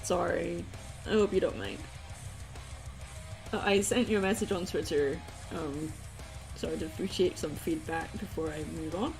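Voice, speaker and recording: A woman's voice: on the low side, moderate pace, creaky, pauses often, low-quality recording. A woman chatting casually and playfully as she hosts an art livestream, teasing as she goes. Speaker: livestream host, artist. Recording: guitar music behind the voice. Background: guitar music.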